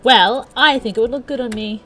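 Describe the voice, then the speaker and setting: A woman voicing an eager, childlike young woman who wheedles excitably, in a visual novel read-aloud. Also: fairly high pitch, a little fast, soft background music, pitched above the performer's own voice. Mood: excited.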